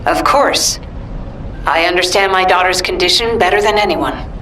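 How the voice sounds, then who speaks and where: An actress voicing a protective, resolute mother in a video game cutscene, speaking calmly, firmly and tenderly. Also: lowish voice, moderate pace. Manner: calm, firm and tender. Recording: roomy and distant, with noise.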